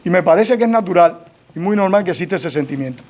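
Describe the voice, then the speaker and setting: A man with a Cuban accent, an author answering audience questions at his book presentation, telling stories in a measured, firm way. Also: high voice, expressive, a little mellow. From Cuba.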